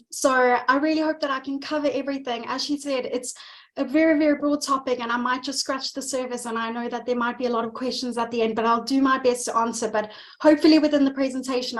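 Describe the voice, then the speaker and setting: South African woman, 30s, dietitian presenting over a video call; earnest, repeats words for weight, slightly high voice. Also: fairly even intonation, brisk. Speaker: dietitian giving a webinar. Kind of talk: presentation talk.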